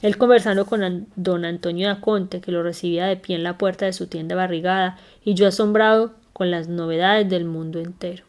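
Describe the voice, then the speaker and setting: A woman reading a memoir aloud in an unhurried, careful way, now and then stumbling and correcting herself. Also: fairly low pitch, fairly even intonation, a little mellow. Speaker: reader.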